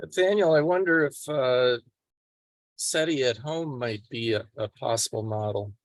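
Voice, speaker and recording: A man contributing to a group teleconference in a halting, hesitant way. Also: medium pitch, no echo. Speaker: meeting participant. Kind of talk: discussion on an online group call. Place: remote teleconference.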